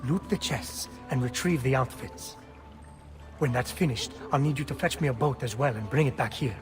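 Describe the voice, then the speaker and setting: A man playing a brisk, streetwise thief in a video game. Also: medium pitch, music in the background, close to an everyday voice. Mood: instructive. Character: brisk, streetwise Italian thief giving orders. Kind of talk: video game cutscene acting.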